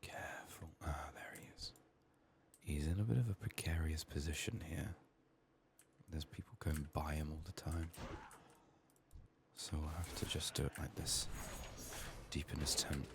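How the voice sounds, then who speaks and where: A soft-spoken man giving ASMR video game commentary, often slipping into whispers, his voice strained from being sick. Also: very deep voice, steady tone, a little slow, gravelly, long pauses, background noise.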